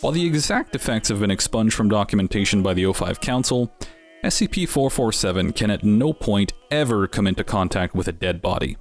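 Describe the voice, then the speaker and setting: A man's voice: fairly low pitch, animated, a little fast, soft background music. A man giving scripted narration as the host of an explainer series, with wry, dryly humorous asides.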